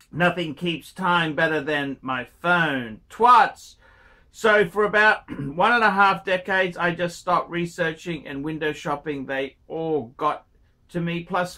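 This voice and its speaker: Male watch host, high and bright, blunt and informal, reads mail aloud and replies. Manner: blunt, informal, swears casually. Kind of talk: reading a viewer's email aloud and replying.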